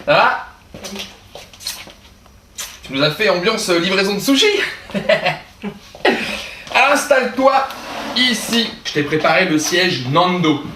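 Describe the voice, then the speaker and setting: A man acts out a football-crazy dinner host in his thirties, bouncing all over his pitch as he rambles eagerly, full of hesitations. The sound is roomy and distant, picked up by a camera across the room with a faint hiss. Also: slow pace, pauses often. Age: 30s. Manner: excitable, rambling with many fillers.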